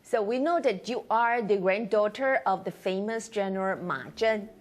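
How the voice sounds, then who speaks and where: Woman interviewer with a mid-pitched voice. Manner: polite, halting questions, frequent backchannels. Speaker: interviewer, host. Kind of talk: video interview for an overseas audience.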